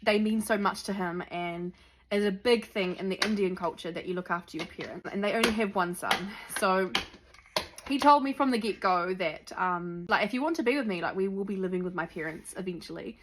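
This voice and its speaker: A woman's voice: medium pitch, a little fast, poor audio. A young woman from New Zealand with a Kiwi accent, chatting casually to camera with lots of ums and likes. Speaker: vlogger. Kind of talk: sit-down chat to camera.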